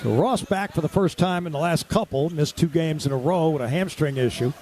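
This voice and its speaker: A man's voice: on the high side, fairly quick, mellow. An American man calling live radio basketball play-by-play in clipped, present-tense phrases. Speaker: play-by-play announcer. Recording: clear crowd and arena background noise.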